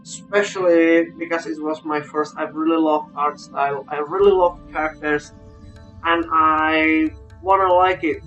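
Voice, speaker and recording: A man with a non-native English accent giving an informal, unscripted game review, halting but emphatic about his opinions. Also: on the high side, level tone, a bit bright, soft background music, poor audio. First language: not English.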